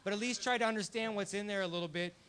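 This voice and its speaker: A man's voice: high voice, very fast, fairly bright tone. Friendly man hosting a beer pairing. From Southern California. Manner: friendly, explanatory, some restarts. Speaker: host. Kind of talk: casual live tasting talk.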